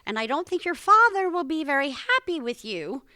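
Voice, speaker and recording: A woman's voice: high-pitched, big pitch swings, normal speed, sharp tone, no echo, clean studio sound, exaggerated voice. A woman acting in an audio play as a fussy, prim, disapproving older mother, scolding in a theatrical way. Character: fussy, disapproving, genteel eccentric mother of grown children.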